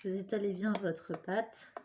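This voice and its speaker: A woman's voice: mid-range voice, steady tone, a little slow, heavy background noise. A young woman with a cold and a stuffy nose, hosting a casual step-by-step craft tutorial and chatting apologetically while she works with her hands.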